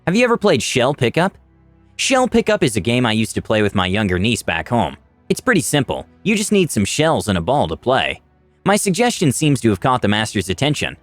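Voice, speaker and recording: A male story narrator with a mid-pitched, highly animated voice. He delivers scripted first-person fantasy narration with drama. The voice is recorded dry, with background music clearly present. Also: a little fast, dry recording.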